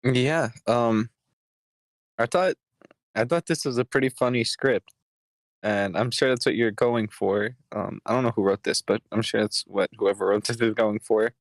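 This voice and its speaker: A man's voice: mid-range voice, moderate pace, dry recording. A man giving casual, slightly hesitant feedback in an online meeting. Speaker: participant giving script feedback.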